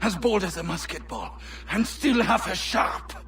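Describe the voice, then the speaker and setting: Scornful, gravelly French villain. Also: male voice, sits high, very animated, unhurried, fairly bright tone, slight background noise, exaggerated voice. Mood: bitter, contemptuous. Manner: grandiose, contemptuous. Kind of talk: dramatic video game acting.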